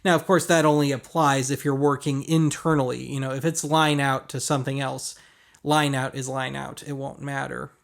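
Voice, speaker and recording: A man's voice: medium pitch, flat delivery, normal speed, gravelly. A man hosting a casual gear walkthrough, explaining things colloquially with wry, self-deprecating asides. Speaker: host of a vintage audio gear review show.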